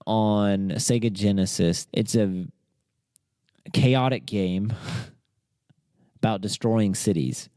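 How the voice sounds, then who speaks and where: Low, mellow-voiced man chatting casually. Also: slow, long pauses, no echo, studio quality. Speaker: podcast host. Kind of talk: casual chat, quizzing a co-host.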